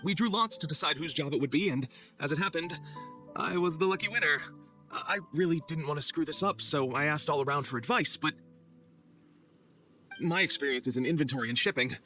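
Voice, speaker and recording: A flustered, earnest young-sounding knight clerk from a video game. He talks fast in a bright, highish voice, formal and overly polite, with faint music behind. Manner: formal, earnest, slightly nervous.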